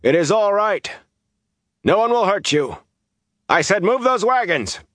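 A man voicing a gruff, exasperated village leader who barks orders in a fantasy audiobook. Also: high-pitched, sing-song, measured pace, a bit bright, no echo, clean studio sound, pitched above the performer's own voice, full character voice. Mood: shouting, commanding. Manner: growling, barking orders.

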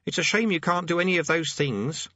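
A man voicing a squeaky, eager young boy demonologist who blusters pompously and hesitates, in a storybook reading. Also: animated, fairly quick, sharp tone, slightly rough, no echo, muffled recording, full character voice. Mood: eager, chatty. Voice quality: squeaky, high-pitched.

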